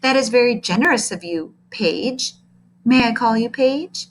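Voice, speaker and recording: A woman's voice: on the high side, lively intonation, measured pace, pitched above the performer's own voice. A woman voicing a charming, polite male fourth grade teacher in a storybook, with a courteous manner.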